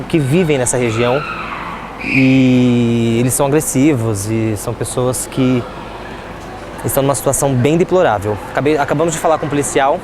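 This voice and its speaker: A male TV reporter speaking earnestly and carefully on location in a city, then interviewing a guest. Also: mid-range voice. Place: outdoors on a city street. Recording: loud street traffic noise. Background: traffic noise.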